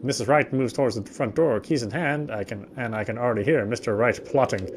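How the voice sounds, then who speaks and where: A man hosting a let's play, reading visual novel narration aloud in an expressive storytelling manner. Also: medium pitch, fairly quick, background noise.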